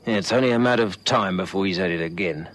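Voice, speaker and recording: A man's voice: deep voice, fast talker, lightly acted. A South African man playing a suspicious, self-respecting neighbour in a radio drama, blunt and grumbling. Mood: grudging, suspicious. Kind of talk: old radio drama acting.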